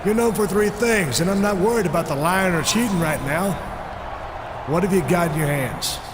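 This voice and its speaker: A man's voice: high-pitched, normal speed, roomy sound, long reverb tail, background noise, close to an everyday voice. A man voicing a suspicious wrestler pressing a friend with questions in a game cutscene. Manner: pressing, questioning, growing impatient.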